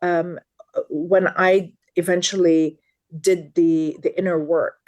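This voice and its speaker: A woman's voice: on the low side, steady tone, slow pace, darkish tone. A woman in her fifties from Lebanon, a guest expert in a podcast interview, speaking earnestly and repeating words for emphasis.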